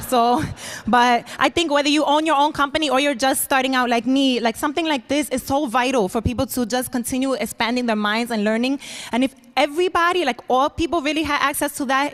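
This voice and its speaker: A woman voicing a nervous young Dominican American college student from New York, rushing and filling every pause with "like" and "um". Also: sits high, close to an everyday voice. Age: young adult. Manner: nervous, rushed, peppered with "like" and "um". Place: large auditorium stage.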